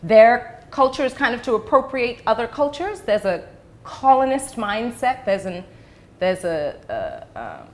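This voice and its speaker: A middle-aged woman from the Bahamas and the UK, an educator giving explanations as an interview guest, choosing her words deliberately with pauses. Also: on the high side, very animated, a little mellow.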